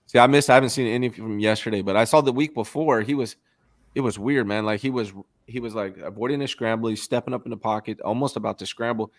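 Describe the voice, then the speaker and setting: A man's voice: mid-range voice, fast, no room sound, clean studio sound. A man hosting a sports call-in show, easygoing and affirming with callers. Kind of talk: casual sports talk.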